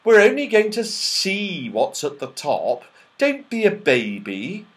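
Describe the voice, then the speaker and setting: A man's voice: a little high, wildly swooping pitch, a little slow, pitched above the performer's own voice. A man doing a bold, pushy boy. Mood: impatient. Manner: firm and pushy. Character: bold, impatient young boy. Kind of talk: storybook character voice.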